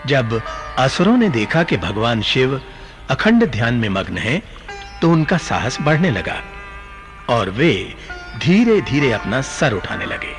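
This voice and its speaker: कथा सुनाते ज्ञानी ऋषि, धीमी लय. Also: male voice, mid-range voice. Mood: solemn narrating. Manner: deliberate, expressive storytelling. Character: learned male sage narrating sacred legends. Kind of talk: mythological radio drama storytelling. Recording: studio radio play with music behind.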